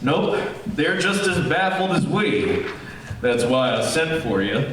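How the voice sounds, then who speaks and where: An actor playing a baffled, earnest small-town sheriff, explaining hesitantly in old-time radio mystery style. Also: male voice, fairly high pitch, big pitch swings, takes it slowly, a bit bright, full character voice. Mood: grave. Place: theater stage, live performance. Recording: very echoey theater, distant mic, faint noise.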